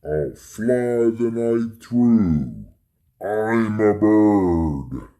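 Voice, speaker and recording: Slow, deep, gravelly villain eagle. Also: male voice, lively intonation, mellow, heavily acted. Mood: menacing, taunting. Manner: drawn-out, taunting delivery.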